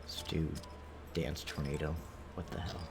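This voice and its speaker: A man's voice: very deep voice, fairly even intonation, measured pace, gravelly, over music. A man giving casual, rambling live gameplay commentary as a streamer, thinking aloud as he goes. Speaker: video game streamer.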